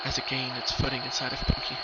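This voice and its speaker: A man's voice: mid-range voice, monotone, fast. A man narrating a scripted story in an earnest, theatrical way. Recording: dull lo-fi recording, background noise. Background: noise.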